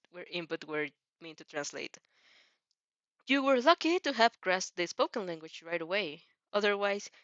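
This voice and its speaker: A woman's voice: lowish voice, fairly bright tone, no echo, lo-fi sound. A woman reading fan fiction as a storyteller with casual reactions, giggly and often stumbling over and rereading phrases.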